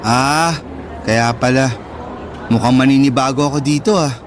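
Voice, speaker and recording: A man's voice: medium pitch, fairly even intonation, normal speed, noisy background, lightly acted. A man playing a polite, affectionate young Filipino son in a radio drama, with a Filipino accent and a coaxing manner. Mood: curious, wary. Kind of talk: Tagalog radio drama acting.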